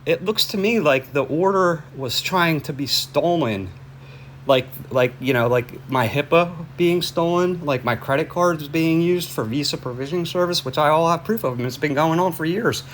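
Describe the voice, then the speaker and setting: A man phoning in a complaint, explaining it in a halting, repetitive and insistent way. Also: a little high, fairly bright tone. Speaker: caller reporting a suspicious order to police. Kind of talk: phone conversation, explaining a complaint. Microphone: phone. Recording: noisy phone call recording.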